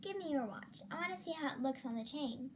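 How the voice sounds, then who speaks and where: A devoted young wife in a radio play, acted by a schoolgirl. Her voice is very high and earnest, over light background noise. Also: lively intonation, a little fast. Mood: eager. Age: child, about 9 or 10.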